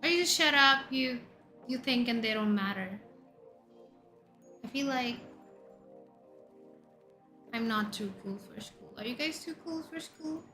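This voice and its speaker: Woman, 30s, Indian accent, VTuber host; unhurried, bright and chatty, soft music bed. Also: on the high side, expressive. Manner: chatty, jumps between questions to chat, loud exclamations. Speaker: VTuber streamer. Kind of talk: casual chat reading viewer messages. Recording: faint background music under voice.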